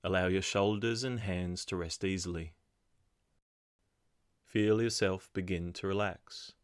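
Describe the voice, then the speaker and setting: A man slowly guiding a body scan meditation in a soft, calm voice. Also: low-pitched, clear, resonant tone, no echo, clean studio sound. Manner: slow, soft, calm instructions. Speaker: meditation guide. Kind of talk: guided meditation.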